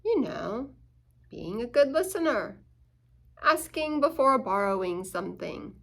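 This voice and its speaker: Slow-paced woman reading a storybook aloud. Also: fairly high pitch, creaky. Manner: expressive, chatty, asks listeners questions. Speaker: storytime reader at a church. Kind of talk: reading a children's picture book aloud with asides.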